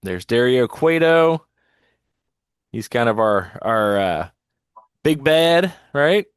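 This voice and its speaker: A man's voice: mid-range voice, sing-song, takes it slowly, smooth, no echo, clean studio sound. A man co-hosting a wrestling podcast in casual, effusive talk, repeating words for emphasis. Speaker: podcast co-host.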